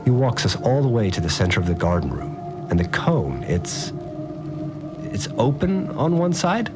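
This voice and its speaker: Deep rattled survivor dude, slangy sweary audio diary, rambling and animated, music behind, podcast drama. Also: male voice, moderate pace. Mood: awed, tense. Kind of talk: casual confessional audio-diary monologue.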